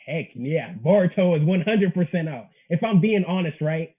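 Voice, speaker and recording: A man's voice: fairly high pitch, phone-quality sound. A man in his early twenties with an American accent, chatting casually as a guest, agreeable and emphatic in his slang. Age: early 20s. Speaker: interview guest, indie manga creator. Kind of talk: casual chat.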